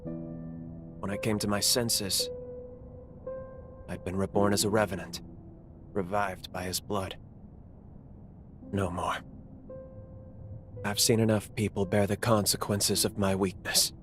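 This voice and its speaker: An actor voicing a guilt-ridden, reckless young male fighter, speaking earnestly and quietly. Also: fairly low pitch, little pitch movement, takes it slowly, pauses often. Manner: earnest, subdued, confessional. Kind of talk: video game voice acting. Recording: game cutscene with music underneath.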